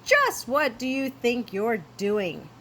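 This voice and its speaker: A woman puts on a fussy storybook mama cat, high and swooping. She scolds in stretched, exaggerated motherly phrases, at a slow pace, over faint noise. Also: sing-song, fairly bright tone, full character voice. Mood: scolding.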